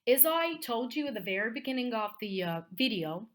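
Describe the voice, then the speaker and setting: A woman's voice: fairly high pitch, big pitch swings, brisk, fairly bright tone. A woman with an Italian accent teaching in an explanatory video lesson, often checking in with short tags at the end of phrases. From Italy.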